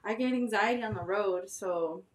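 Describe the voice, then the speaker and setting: A young woman chats on a casual podcast, wandering through everyday stories and filling gaps with "like" and "I don't know". She laughs easily and tells things in a loose, conversational way. Her voice sits at a middle pitch for a woman. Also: normal speed, poor audio. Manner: chatty, rambling, laughs often, lots of filler words. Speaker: podcast co-host.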